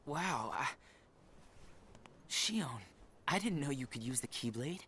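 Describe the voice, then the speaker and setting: Earnest teenage boy, lively pitch. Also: slow, a little hiss or hum, full character voice. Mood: friendly. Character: naive, earnest, quietly curious teenage boy. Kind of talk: video game voice acting. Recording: game audio with music behind.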